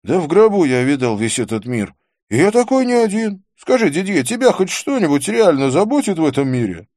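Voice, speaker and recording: A man's voice: on the high side, sing-song, brisk, darkish tone, no room sound, studio quality, pitched above the performer's own voice. A man voicing a bitter, defiant drug addict in an audiobook, evasive, defensive and needling. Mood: bitter, challenging. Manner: defensive, challenging. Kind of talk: audiobook character voice.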